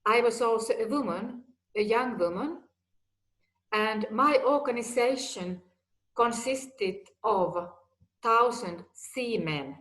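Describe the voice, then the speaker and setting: Slow-speaking woman. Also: a little high, expressive, fairly bright tone, low-quality recording. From Finland. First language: Finnish or Swedish. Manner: slow, deliberate, simple phrasing. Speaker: guest executive. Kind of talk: personal talk.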